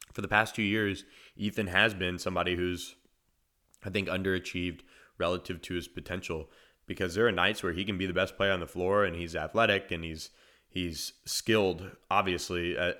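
Deep-voiced man hosting a sports podcast. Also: bright, forceful tone. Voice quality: naturally deep voice. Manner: conversational, analytical, frequent verbal restarts. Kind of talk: solo podcast commentary and storytelling.

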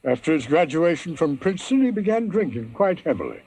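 A man's voice: on the high side, sing-song, fairly quick, dark tone, breathy edge, full character voice. An actor playing a wealthy, middle-aged father, formal, guarded and imperious, in a 1950s radio drama. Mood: grave.

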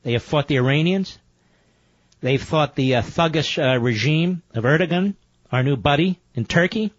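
A man's voice: a little high, lively intonation, normal speed, dry recording. A man hosting a talk radio show, speaking emphatically and growing indignant. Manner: emphatic, indignant, rising to loud peaks. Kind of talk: talk radio monologue and call-in. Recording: dull lo-fi radio sound, dry.

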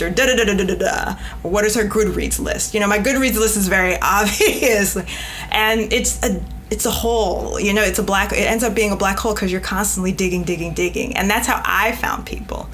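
A woman talks as a podcast guest in an unscripted panel discussion. Her voice sits at an average pitch and she makes her points emphatically. There is some faint ambient noise behind her. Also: fairly even intonation, brisk, a bit bright. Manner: emphatic, voices imagined replies as quotes. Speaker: podcast guest, literary editor. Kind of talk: panel interview talk.

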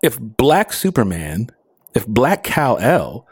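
A man's voice: mid-range voice, very animated, takes it slowly, husky, no room sound. A man co-hosting a podcast in casual chat, asking question after question and laughing often. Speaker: podcast co-host. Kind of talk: casual pop culture chat.

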